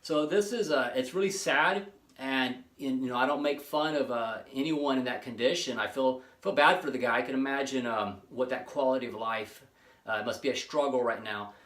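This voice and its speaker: A man in his late forties hosting his own fitness channel, talking casually and rambling with many pauses and restarts. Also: medium pitch, fairly bright tone, echoey room. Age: 40s. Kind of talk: casual monologue to camera.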